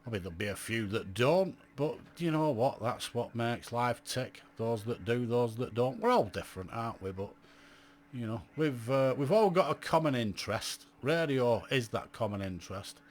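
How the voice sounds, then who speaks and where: A man close to sixty talks through his life in radio. His voice sits at an ordinary pitch, and his delivery is blunt and plain-spoken. He describes himself as a bit grumpy, and he keeps it chatty, with lots of "you know"s. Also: lively intonation, moderate pace. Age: 50s. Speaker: radio amateur vlogger. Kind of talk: reflective casual monologue to camera.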